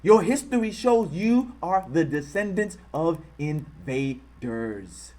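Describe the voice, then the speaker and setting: Fervent American male host, livestream, fairly high-pitched voice, fires off repeated rhetorical questions. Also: sing-song, slow. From United States. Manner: impassioned, repetitive rhetorical questions; greets and thanks live viewers in a softer tone. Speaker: online channel host. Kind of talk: live-streamed commentary.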